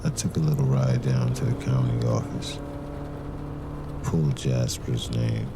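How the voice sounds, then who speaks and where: An actor playing a brooding, suspicious detective who narrates a noir crime drama in a grave, deliberate manner. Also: male voice, a bass voice, steady tone, vocal fry, background noise, full character voice. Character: brooding male detective, terse, suspicious and methodical. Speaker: narrator and lead character of an audio crime drama.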